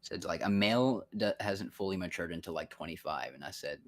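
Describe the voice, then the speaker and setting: A man's voice: deep voice, a little fast. A man in his thirties in a casual voice chat, rambling and frank, swearing often. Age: 30s.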